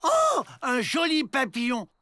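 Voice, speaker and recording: Casting: gentle dim cartoon guard, extremely high-pitched, swooping melody, innocent and tender. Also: male voice, huge pitch swings, unhurried, slight background noise, exaggerated voice. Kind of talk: animated comedy dubbing. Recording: faint music behind the voice.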